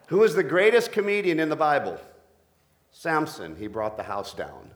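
A middle-aged man preaching a sermon, a pastor with a deep voice who jokes playfully with his congregation. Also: a bit bright.

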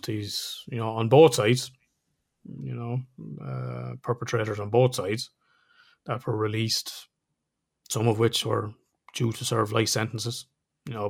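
A man's voice: lowish voice, vocal fry. A man with an Irish accent, co-hosting a podcast in a casual, chatty way, laughing often and swearing now and then. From Ireland. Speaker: podcast co-host.

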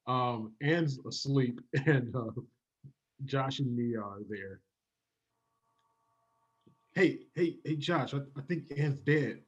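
A man with a husky voice setting up improvised comedy scenes, hesitantly repeating himself. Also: medium pitch, slow, long pauses, highs cut off. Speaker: improv comedy performer calling scene changes.

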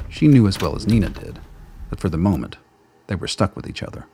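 A man reading the narration of a radio play in a measured, wry storytelling manner. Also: sits low, very animated, dark tone, slight background noise. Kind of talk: scripted narration.

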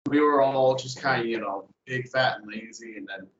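A young man in casual chat, speaking earnestly and haltingly with frequent "you know". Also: medium pitch, fairly bright tone. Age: young adult. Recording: echoey, dull lo-fi sound.

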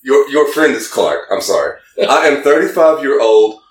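A man's voice: mid-range voice, sing-song, moderate pace, a little hiss or hum. A man casually reading text messages aloud for comedy, stumbling a little through broken English in an amused way. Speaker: podcast host. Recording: roomy echo, distant from the mic.

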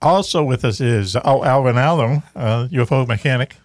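A man's voice: mid-range voice, moderate pace, a little mellow, no echo. A middle-aged man with a Boston accent hosting a radio talk show, rambling and joking with the gang and swearing casually. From Boston area, USA. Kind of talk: casual banter.

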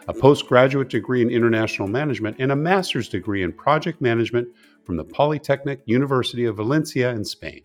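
A man hosting a business podcast, reading a deliberate intro and then chatting casually with fillers. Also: on the low side. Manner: slow and deliberate in the intro, chatty with "you know" and "uh" in the interview. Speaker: podcast host.

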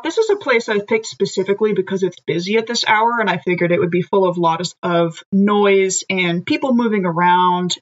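A woman's voice: mid-range voice, muffled recording. A woman playing a tabletop game, chatting and thinking aloud as she describes her character's actions. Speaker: player. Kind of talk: casual tabletop play and in-character narration.

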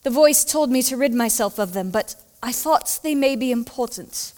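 Woman playing a high-voiced, anxious Victorian housekeeper. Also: lively intonation, normal speed, darkish tone, close to an everyday voice. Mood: worried, confiding. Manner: deferential, formal, urgent. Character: anxious, loyal Victorian housekeeper, thirty years in service. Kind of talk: dramatic audio play acting.

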